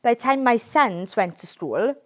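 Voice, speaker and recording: A woman's voice: on the high side, lively intonation, moderate pace. Earnest Welsh woman, emphatic. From Wales. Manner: earnest and emphatic, keeps asking "right?". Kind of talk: casual political discussion.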